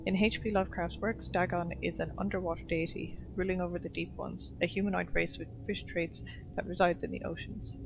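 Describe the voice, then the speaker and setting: A woman's voice: fairly low pitch, little pitch movement, brisk, background noise. A woman streaming a game, casually commenting and reading its text aloud. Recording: clear game music behind the voice. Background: game music.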